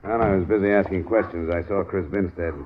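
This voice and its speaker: An actor playing a shrewd, probing insurance investigator in a dry, hardboiled 1950s radio drama manner. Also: male voice, sits low, fairly even intonation, brisk, slight background noise, close to an everyday voice. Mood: firm, probing. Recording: dull old radio recording with music.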